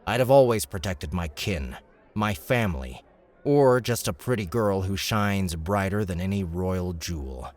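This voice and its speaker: Guy doing a shrewd young noble for an audiobook, deep voice, formal, bossy and smug. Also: lively intonation, unhurried. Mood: measured, charming. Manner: glib, formal, commanding. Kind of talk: audiobook character dialogue.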